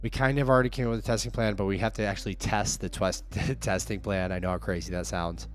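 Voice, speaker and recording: A man with a hoarse, fading voice chatting casually on a livestream, upbeat and talking straight to his viewers. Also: lowish voice, fairly even intonation, fast talker, soft background music. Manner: upbeat, hype-driven, addresses viewers directly. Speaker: livestreaming app developer.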